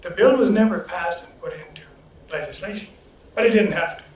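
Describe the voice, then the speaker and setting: A man hosting a story circle, speaking in a plain, halting way. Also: high-pitched, a little mellow. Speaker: host. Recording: distant echoey room, faint noise.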